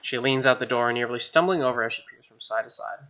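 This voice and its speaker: A man's voice: mid-range voice, fast, creaky, pushed and bright, muffled recording. A man with an American accent reading a visual novel aloud as a gaming host, with casual asides. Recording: game music behind.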